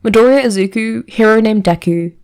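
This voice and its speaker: A woman's voice: a little high, a little fast, a little mellow, clean tone, close to an everyday voice. A woman voicing a sincere teenage boy who speaks with ceremonial solemnity in a story reading. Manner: ceremonial eulogizing.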